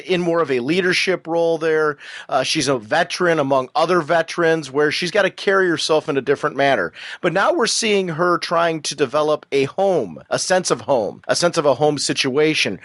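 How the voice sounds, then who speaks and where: A man with a somewhat high voice, raspy from allergies, talks comics on a podcast in a loose, rambling way. His eager talk is full of fillers and side paths. The sound is dry and close, with no room around it. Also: a bit bright, no room sound. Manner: rambling, enthusiastic, many fillers. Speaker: podcast co-host. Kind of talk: casual comic book chat.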